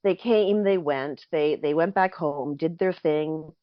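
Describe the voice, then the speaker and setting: A woman with a fairly low, slightly hoarse voice lays out her points patiently, often circling back to rephrase. The sound is dry and close with no room around it. The recording is a little dull and lo-fi. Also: unhurried, no echo. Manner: explains at length, thinking aloud with frequent self-corrections. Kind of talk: casual explanatory chat.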